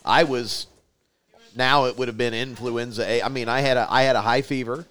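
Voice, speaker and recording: A man co-hosting a podcast, telling a story haltingly, repeating words and poking fun at himself. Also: medium pitch, fairly even intonation, fairly bright tone. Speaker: podcast co-host. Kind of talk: casual chat, storytelling.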